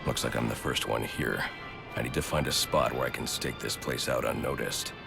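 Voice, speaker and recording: Super deep gravelly vigilante guy, cold and clipped, loud music behind. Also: steady tone, normal speed, heavily acted. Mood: determined. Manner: clipped, menacing. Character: grim masked vigilante man, cold and terse.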